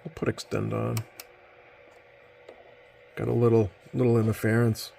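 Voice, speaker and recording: A man's voice: medium pitch, monotone, a little slow, dark tone. A man giving a casual, hands-on demonstration as he hosts at a radio workbench. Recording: clear background noise.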